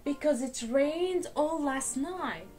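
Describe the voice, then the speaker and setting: A woman's voice: high-pitched, lively intonation, slow, darkish tone, faint music behind, pitched above the performer's own voice. A woman voicing a patient but exasperated father in a children's storybook, explaining things with pleading emphasis. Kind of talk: storybook character voice.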